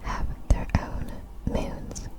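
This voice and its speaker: A woman whispering ASMR narration of facts in a breathy, fully unvoiced whisper, with careful, deliberate phrasing. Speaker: ASMR creator.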